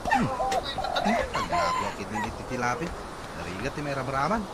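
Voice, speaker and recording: A man acting in an Ilocano radio comedy drama as an excitable, exclamatory, put-upon husband who peddles fish. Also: mid-range voice, moderate pace, heavy background noise. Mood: hawking, grumbling. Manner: exclamatory, wailing when upset.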